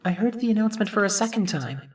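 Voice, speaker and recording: A woman's voice: medium pitch, lively intonation, quick pace, close to an everyday voice. An earnest teenage boy, voiced by a woman, thinks aloud with uneasy hesitation. The voice is pensive and a little distant from the microphone, over faint music, as an anime game fan dub monologue. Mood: uneasy, tense.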